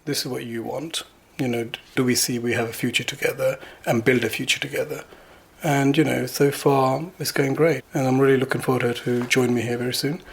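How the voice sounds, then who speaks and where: A middle-aged man from Bangladesh chats easily in an interview, his voice gravelly with a husky edge. He talks in a relaxed way and laughs often. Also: medium pitch, fairly quick. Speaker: interviewee, planetary science student. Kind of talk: casual interview.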